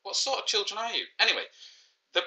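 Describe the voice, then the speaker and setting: A man in his forties with a clear Manchester accent hosts a live maths quiz for children. His voice is bright and forceful, and he talks in easy, joking banter, often teasing himself. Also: high-pitched, sing-song, fast. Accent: Mancunian. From Manchester, England. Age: 40s. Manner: joking, self-mocking banter. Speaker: teacher hosting a live quiz. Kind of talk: casual livestreamed lesson.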